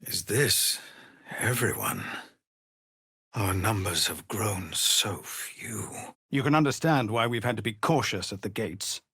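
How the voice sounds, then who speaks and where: An actor playing a weary, dutiful leader of refugees in a video game, speaking in an earnest, measured, formal manner. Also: male voice, on the low side, bright, forceful tone, husky, no room sound, clean studio sound, heavily acted. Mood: weary, tense. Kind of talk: dramatic video game voice acting.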